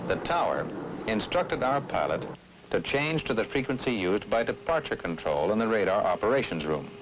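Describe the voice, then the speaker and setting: Patient aviation explainer, low and animated, vintage instructional film with faint noise. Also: male voice, normal speed. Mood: instructive. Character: patient airline man explaining air traffic control. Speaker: aviation professional explaining a flight to a passenger. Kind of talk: scripted explanatory narration in an educational film. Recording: old film soundtrack, faint background noise.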